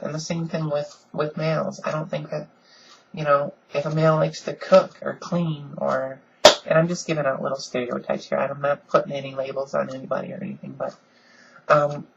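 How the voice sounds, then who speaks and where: A woman with a deep voice she softens a little, talking earnestly and casually in a home vlog with lots of ums. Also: creaky, highs cut off, a little hiss or hum. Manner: frequent "um"s, earnest and conversational. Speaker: vlogger. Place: at home, in pajamas.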